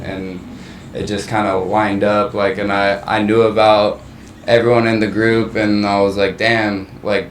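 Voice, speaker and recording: A man in his twenties from Southern California, chatting casually as an interview guest in a relaxed, slangy way with a lot of swearing. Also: on the low side. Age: 20s. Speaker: rapper guest. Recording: echoey room, mic at a distance, faint hiss.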